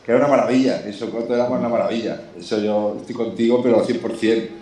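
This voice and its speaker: A man from Barcelona with an accent from Spain, chatting casually in an enthusiastic, halting way and repeating himself. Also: medium pitch, fairly even intonation, warm tone. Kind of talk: casual chat. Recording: echoey room, faint noise.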